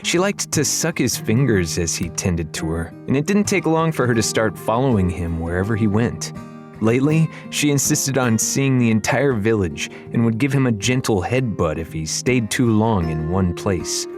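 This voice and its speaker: A man's voice: on the low side, lively intonation, normal speed. A man narrating a children's fantasy audiobook, wry and conversational, speaking straight to the listener. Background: music.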